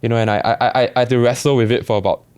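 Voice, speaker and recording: A young man with a Singaporean accent, telling his story in a relaxed, reflective way with many restarts. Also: medium pitch, racing, smooth, no room sound. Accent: Singaporean English. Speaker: barber guest. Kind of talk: casual podcast chat.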